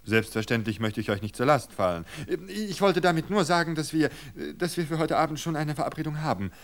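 A man's voice: fairly high pitch, lively intonation, brisk, pitched above the performer's own voice. A man voicing a young married woman who is polite, guarded and easily startled, with a hesitant, emphatic manner. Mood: nervous, apologetic.

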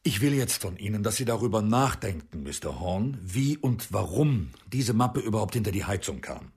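Husky, formal male police inspector. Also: on the low side, big pitch swings, darkish tone, exaggerated voice. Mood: stern, probing. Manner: formal, measured, probing. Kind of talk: radio crime drama dialogue.

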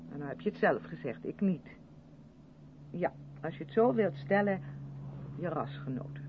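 Actress as animated, apologetic woman, muffled noisy radio play. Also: fairly low pitch, normal speed, lightly acted. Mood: gushing, uneasy. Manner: gushing, defensive, over-polite. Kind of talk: radio play dialogue in a moving car. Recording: muffled, phone-like 1970s radio recording, loud hum.

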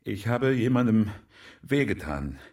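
An actor playing a guilt-ridden, evasive husband who speaks haltingly and huskily in a radio drama. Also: male voice, on the low side, big pitch swings, a little mellow, recorded from a distance, heavily acted. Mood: guilty, evasive.

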